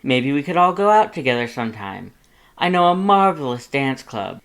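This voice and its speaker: A man's voice: mid-range voice, sing-song, moderate pace, vocal fry, fairly bright tone, clear, resonant tone, pitched above the performer's own voice. A man voicing a pompous, dramatic male skeleton with a grandiose, formal, over-enunciated way of talking. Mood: grandiose, cheerful.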